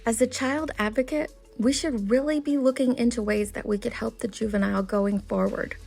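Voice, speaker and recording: A woman reads her commentary script in an earnest, critical tone. Her voice sits fairly high with a gravelly, creaky edge. Faint music plays under her. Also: steady tone, normal speed, a little mellow. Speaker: commentary channel host.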